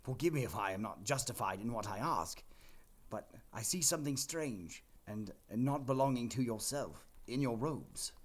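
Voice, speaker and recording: A man playing a softened old miser in an audio play, anxious, hesitant and pleading. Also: medium pitch, animated, normal speed, close to an everyday voice. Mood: anxious wonder.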